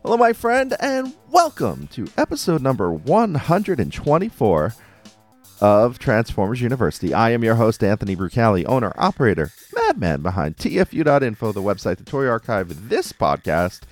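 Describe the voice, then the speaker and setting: A man's voice: mid-range voice, huge pitch swings, normal speed. A man hosting a casual fan podcast, recapping cartoon episodes in an enthusiastic, conversational way. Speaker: podcast host. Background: music under the voice.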